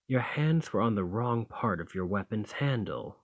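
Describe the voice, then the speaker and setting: A man's voice: lowish voice, animated, normal speed, no echo. A man playing a dominant, haughty fantasy thane in an ASMR roleplay, commanding and formal with a condescending, teasing edge. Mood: instructive, teasing. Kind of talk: ASMR roleplay acting, slow and intimate.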